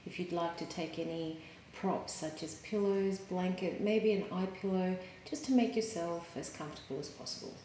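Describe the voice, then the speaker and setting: A woman yoga teacher leading a guided meditation in a calm, soothing, measured way with pauses. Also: on the low side, slight background noise. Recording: roomy, echoing sound.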